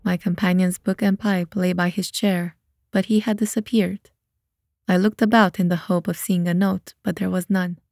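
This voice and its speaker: A woman's voice: on the low side, little pitch movement, moderate pace, vocal fry, darkish tone, dry recording, studio quality. A woman reading scripted narration for an audio drama as its narrator. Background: music.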